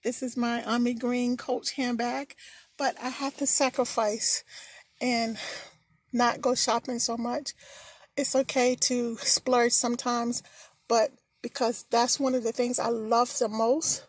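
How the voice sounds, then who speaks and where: Slow, high-voiced encouraging woman vlogger. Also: flat delivery, no echo. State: slightly hoarse. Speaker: motivational vlogger. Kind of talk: encouraging casual vlog talk. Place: filmed on errands, at a bank.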